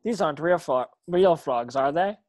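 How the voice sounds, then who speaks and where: A shy young wizard boy, read by an adult actor at a script table read. The delivery is polite and a little hesitant, at a middling pitch. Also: expressive, moderate pace, a little mellow, close to an everyday voice. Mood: curious. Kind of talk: online table read of a screenplay.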